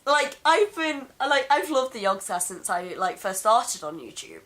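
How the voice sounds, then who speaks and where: A young Englishwoman with a deep voice, hoarse from being ill, rambling through a casual vlog chat at home on a camera mic. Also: sing-song, a little slow, fairly bright tone. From England. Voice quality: deep for a woman. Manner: rambling, chatty, laughs often. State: hoarse from illness. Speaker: vlogger. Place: home room.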